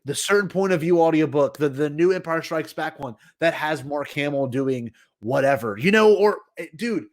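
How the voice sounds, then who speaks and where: A male podcast co-host with a moderately high voice talks casually as a fan. He is keen and emphatic, peppering his loose, conversational delivery with slang. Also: animated, normal speed.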